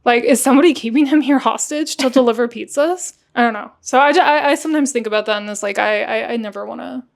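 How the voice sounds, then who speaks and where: High-pitched, chatty American woman with creaky voice. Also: fast talker. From United States, raised partly in Germany. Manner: chatty, exclamatory, laughs readily. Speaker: podcast guest. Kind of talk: casual conversational interview.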